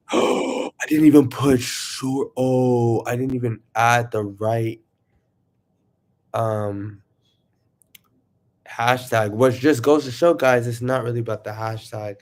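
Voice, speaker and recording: A man casually chatting to viewers on his livestream, rambling and excitable, sniffling from allergies. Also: medium pitch, takes it slowly, pauses often. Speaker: YouTuber livestreaming.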